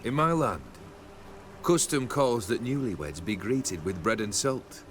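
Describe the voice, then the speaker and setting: Deep, expressive, proud nobleman. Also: slight background noise. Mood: tender, courtly. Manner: courtly, measured, imperious. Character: proud nobleman husband, courtly yet menacing. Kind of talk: dramatic video game voice acting. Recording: faint background ambience.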